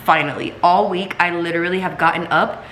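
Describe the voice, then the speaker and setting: A young woman with a low voice chats to her viewers at home, sounding stuffy and congested after being sick. She rambles warmly and loosely, heavy on "like" and "you guys." The room is echoey and there is clear noise in the background. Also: fairly even intonation, a little fast. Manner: chatty, filler-heavy, talks straight to viewers. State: congested, recovering from illness. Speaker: vlogger. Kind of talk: casual chatty vlog. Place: home kitchen, then seated at home.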